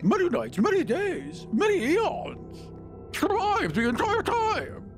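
A man's voice: extremely high pitch, huge pitch swings, slow pace, soft background music, voice pushed up, heavily acted. A man voicing a floating jellyfish monster that rambles and repeats itself in an eager, fawning way. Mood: dreamy, reverent.

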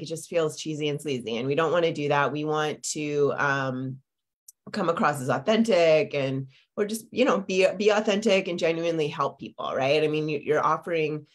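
A woman in her forties, a business coach, talking live over a video call from her home office, a little congested with a lingering cough, chatty and full of fillers and tag questions. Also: low-pitched. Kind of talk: live-streamed coaching talk. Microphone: video call webcam.

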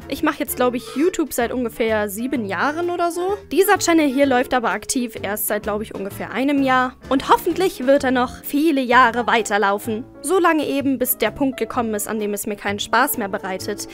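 A young woman reads fan questions aloud and answers them in a teasing, sarcastic way. Her voice sits high for a woman. Soft music plays quietly in the background. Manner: playful, sarcastic, joking. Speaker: VTuber host.

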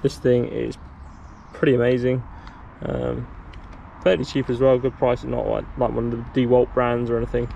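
Young bloke, bit of fry in his voice, rambly diy vlog with lots of ums, talking to a camera held out at arm's length. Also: mid-range voice, normal speed, dull audio, slight background noise. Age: young adult. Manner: relaxed, rambling explanation with many ums. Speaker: sailing vlogger. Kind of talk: casual how-to vlog. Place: outdoors in a garden, later in a shed. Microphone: handheld camera at arm's length.

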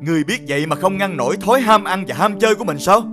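High-pitched stern heavenly emperor. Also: male voice, expressive, racing, music in the background. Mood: stern, angry. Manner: authoritative, scolding. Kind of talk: animated fairy-tale voice acting.

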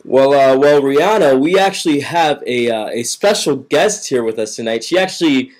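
A man's voice: mid-range voice, animated, normal speed, poor audio. A young man playing a fake-polite, flustered talk-show interviewer in a home webcam sketch. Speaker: mock interviewer. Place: living room at home.

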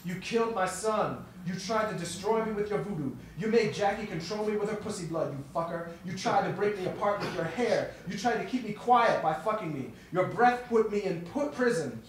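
A man's voice: high-pitched, expressive, normal speed, few pauses, slight background noise, pitched above the performer's own voice. A man voicing a frantic woman who howls wild, nonsensical accusations. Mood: frenzied howling. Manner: howling, frenzied, nonsensical outbursts. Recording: echoey room, mic far off.

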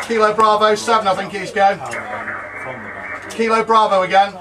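A man's voice: sits high, little pitch movement, moderate pace. A man working as an amateur radio contest operator, reeling off procedural callsign exchanges in phonetic alphabet. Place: small dim indoor radio room. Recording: very noisy radio shack, distant mic.

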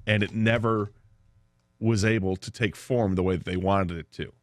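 A man's voice: lowish voice, fairly even intonation, moderate pace, no room sound. A man with an American accent co-hosting a sports radio show in casual discussion on a studio microphone. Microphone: studio broadcast microphone.

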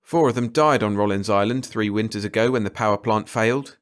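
A man voicing a brisk, enthusiastic, absent-minded ecologist in clipped phrases for an audiobook. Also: fairly low pitch, lightly acted. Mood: brisk, businesslike. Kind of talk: audiobook character dialogue.

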